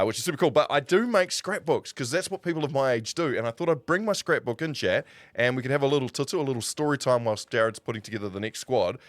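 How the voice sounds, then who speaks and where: A man's voice: on the low side, dry recording. A man in his forties with a New Zealand accent, telling stories in a casual, rambling, conversational way on a live stream. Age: 40s. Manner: rambling, conversational, self-correcting. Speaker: streamer and broadcaster.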